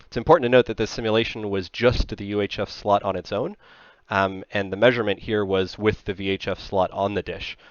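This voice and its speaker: A man in his thirties giving an explanatory technical slide presentation in a conversational, measured manner. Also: on the low side, fairly even intonation, clean tone, no echo. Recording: slightly dull, band-limited sound.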